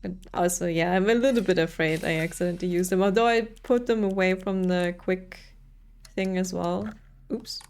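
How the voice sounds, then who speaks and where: A woman's voice: lowish voice, normal speed, darkish tone, smooth. A young woman whose first language is not English, chatting casually while playing a video game and laughing often. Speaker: gameplay streamer. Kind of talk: casual gameplay commentary.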